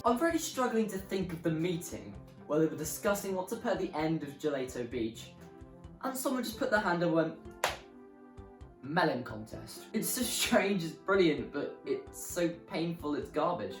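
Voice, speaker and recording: Roomy, swooping sardonic presenter over loud music. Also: medium pitch, big pitch swings, moderate pace. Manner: exasperated, sardonic, dramatic. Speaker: video essay presenter. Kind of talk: opinionated game review commentary. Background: prominent music.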